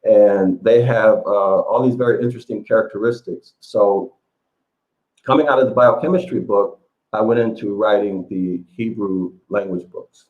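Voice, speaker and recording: A man's voice: on the low side, normal speed, narrow, tinny audio. A man from the United States, a guest telling his story in a rambling way with many fillers over an online call. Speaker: interview guest, author. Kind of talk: casual storytelling interview.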